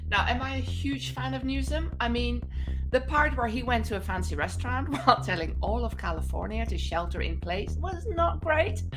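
A woman's voice: a little high, lively intonation, normal speed, music in the background, rough recording. A woman co-hosting a podcast, giving opinionated, irreverent comic news commentary and swearing freely. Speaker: podcast co-host. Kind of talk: humorous news commentary and banter.

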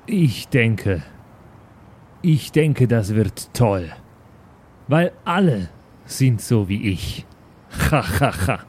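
A man playing an eager, boastful but insecure magician in an improvised fantasy role-play, halting and defensive. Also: medium pitch, big pitch swings, darkish tone, slight background noise. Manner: halting, self-assured, defensive.

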